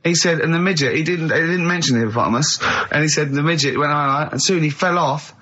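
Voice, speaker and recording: A man co-hosting a comic radio show, talking in an excitable, incredulous and mocking way. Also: a little high, fast, highs cut off.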